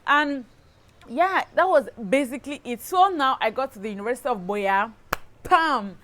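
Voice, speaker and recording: A woman with a Cameroonian accent telling a personal story in a casual vlog style, animated and emphatic, often checking in with the listener. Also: high-pitched, moderate pace, slight background noise. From Cameroon, southwest region. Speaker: lifestyle YouTuber, host.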